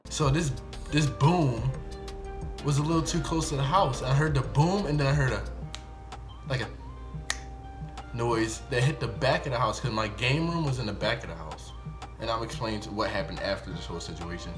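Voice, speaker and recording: A young man with an American accent telling a casual, slangy first-person story in an animated way, acting out bits of dialogue. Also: medium pitch, moderate pace, pauses often, over music. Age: young adult. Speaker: YouTube storyteller.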